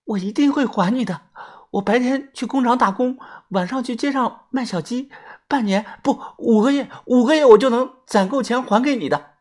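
A woman's voice: fairly high pitch, darkish tone, voice pushed up, natural voice. A storyteller voicing a timid, desperate young woman who stammers and pleads for help. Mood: earnest pleading. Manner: stammering, halting.

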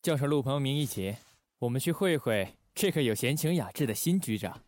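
A man playing a shrewd, authoritative secret-service chief in a Chinese audio drama, measured and wry. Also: mid-range voice, expressive, a little mellow, no room sound, close to an everyday voice. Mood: wryly amused. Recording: music bed behind the voice.

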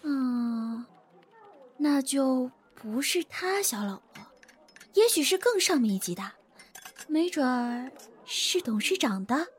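A woman's voice: high voice, expressive, slow pace, pauses often, breathy edge, exaggerated voice. A woman playing a catty office gossip in a modern audio drama, snide and insinuating. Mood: snide curiosity.

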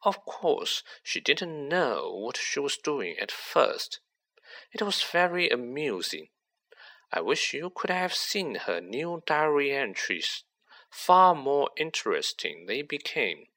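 A man voicing a cold, manipulative teenage boy sorcerer who talks softly and gloats. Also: mid-range voice, big pitch swings, slow, pitched above the performer's own voice, full character voice. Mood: gloating, silky. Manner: silky, soft and gloating.

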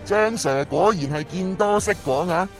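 A smug thief played by a man taunts in with a fairly high voice. The villain sneers theatrically in radio-drama style, with music underneath. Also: normal speed. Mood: smug taunting. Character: smug, mocking master thief in disguise, taunting captives.

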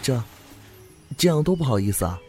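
A man's voice: medium pitch, very animated, fairly quick, mellow, soft background music, close to an everyday voice. A man playing a chatty, cheerful young heir. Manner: chatty, playful. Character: cheerful, easygoing young clan heir, older brother. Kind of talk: Chinese fan audio drama acting.

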